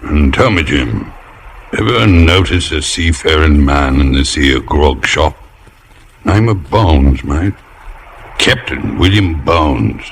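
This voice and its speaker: A menacing seafarer with an extremely low voice and slow, sly delivery. His rough sailor talk probes for answers in an old radio drama, through a noisy vintage broadcast. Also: expressive, heavily acted. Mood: sly, probing. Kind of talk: 1950s radio drama acting.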